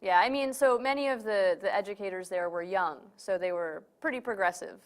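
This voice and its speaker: A woman's voice: medium pitch, brisk. A young woman from New York City, born in Romania, answering casually as an interview guest. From born in Romania, raised in New York City. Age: young adult. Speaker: interview guest, community organizer.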